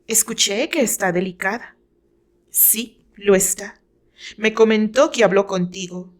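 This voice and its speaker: Suspicious man, read by a woman, serious, probing, stiff, slow pace, audio-novel drama. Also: mid-range voice, natural voice. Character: serious, suspicious man, a musician questioning his lover.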